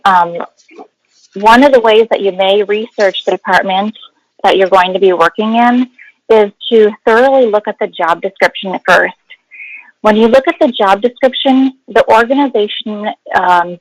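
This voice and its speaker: A woman with a mid-pitched voice gives an instructive, explanatory presentation as a guest expert. She leans on key words, and the sound is dry with no room echo.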